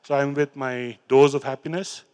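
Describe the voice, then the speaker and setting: A middle-aged man with an Indian English accent, speaking on stage into a handheld mic, telling his recovery story in an earnest, halting way. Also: medium pitch, steady tone, darkish tone, clear, resonant tone. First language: Hindi or Urdu. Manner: earnest, halting, with fillers and restarts. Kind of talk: personal talk on stage, reciting poems. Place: large stage in a hall. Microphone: handheld microphone.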